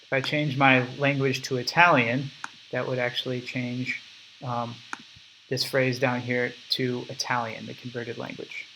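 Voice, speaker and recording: A man's voice: medium pitch, level tone, a little slow, noisy background. A young man casually explaining his app step by step in a recorded screen walkthrough at his computer. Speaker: app developer demonstrating his product.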